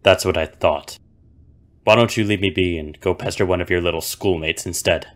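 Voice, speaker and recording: A man playing a gruff, sarcastic, dismissive character in an animated film. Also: sits low, normal speed, lightly acted. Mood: irritated, dismissive. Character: gruff, irritable, sarcastic man brushing off a child.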